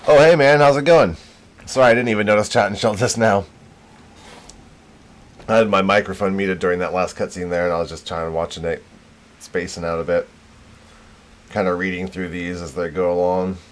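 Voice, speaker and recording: Male streamer, deep voice, laid-back, casual chat, occasional swearing. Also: steady tone, moderate pace, pauses often. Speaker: game streamer.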